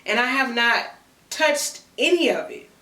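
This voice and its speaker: Bright chatty woman in her thirties. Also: a little high, animated, measured pace, recorded from a distance. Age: 30s. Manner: chatty, answers read-out questions, y'all and girl slang. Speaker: content creator. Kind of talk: casual Q&A chat to camera. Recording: echoey room, mic set back.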